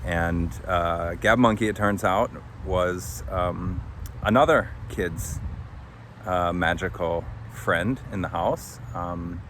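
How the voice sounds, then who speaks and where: A man's voice: low voice, slow pace, a bit bright. A man hosting a podcast as its author, giving a casual, unscripted recap and searching for his words. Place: outdoors under a tree. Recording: faint outdoor traffic-like noise. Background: faint outdoor urban ambience.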